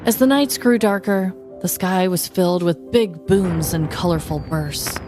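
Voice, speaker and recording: A woman's voice: lowish voice, a little slow, music in the background. An American woman co-hosting a podcast, moving between casual banter and scripted comedic storytelling. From United States. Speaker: podcast co-host.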